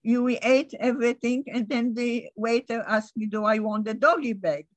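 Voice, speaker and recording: An older woman from Poland, speaking English with a Polish accent, who recalls her past in a halting way and often restarts. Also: fairly high pitch, fairly even intonation, no room sound. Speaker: interviewee.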